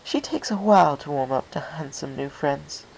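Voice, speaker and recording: A man's voice: on the high side, lively intonation, moderate pace, creaky, a little hiss or hum, voice pushed up, low-quality recording. A man voicing a flirtatious, refined female storybook pony with an airy, affected, purring manner. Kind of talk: storybook character voice in fan fiction reading.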